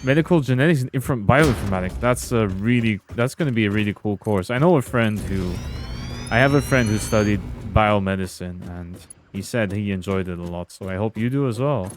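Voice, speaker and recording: A young man from Belgium, streaming a game and chatting casually with his viewers in a relaxed way. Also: lowish voice, fairly quick, over music. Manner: relaxed, chatty, reading and answering chat. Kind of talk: casual gameplay commentary with chat.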